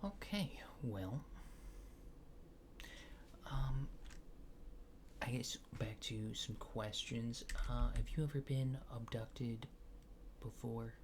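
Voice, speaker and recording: A man speaks very slowly in a soft, gravelly ASMR murmur that slips into whispering. He plays a mild alien space agent, hesitant and casual, trailing off as he goes. Clear noise sits behind the close recording. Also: medium pitch. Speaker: ASMR creator playing an alien abductor. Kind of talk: ASMR roleplay, softly spoken and whispered.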